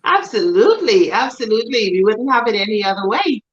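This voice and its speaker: A woman from the Bahamas with a Bahamian accent, a guest speaking in a measured, friendly way on a talk show. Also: on the high side, very animated, lo-fi sound. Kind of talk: casual talk show chat.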